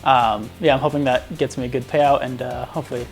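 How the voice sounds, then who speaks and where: A man's voice: medium pitch, steady tone, a little fast, creaky. Young man rambling with many fillers. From New York area. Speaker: bug bounty hunter. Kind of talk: casual interview answers. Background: faint music.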